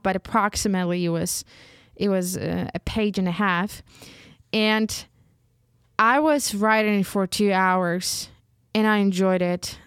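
Woman, Ukrainian native speaker, unhurried and halting personal storytelling, clean and dry close recording. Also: medium pitch, clear, resonant tone, no echo. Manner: slow, hesitant, searching for words with many restarts. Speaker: writer.

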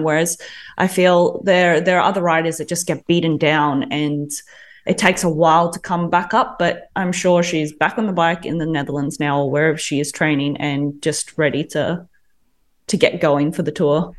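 A woman on a cycling podcast panel, giving upbeat, friendly opinions in casual chat. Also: sits low.

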